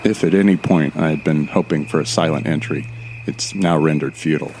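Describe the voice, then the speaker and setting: A man's voice: very deep voice, brisk, a little mellow, slight background noise, low-quality recording. A man playing an uneasy but determined, wry explorer, narrating a first-person horror audio drama in a confiding, colloquial way. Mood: tense, ominous. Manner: descriptive, confiding, colloquial with swearing.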